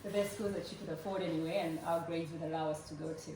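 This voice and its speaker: A woman's voice: low voice, steady tone, quick pace, dark tone, recorded from a distance, slight background noise. A middle-aged woman from Uganda tells her personal story to guests at an evening event, earnestly, with many ums. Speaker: speaker at a fundraising evening. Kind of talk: personal story told to a live audience. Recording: very echoey room, voice from afar.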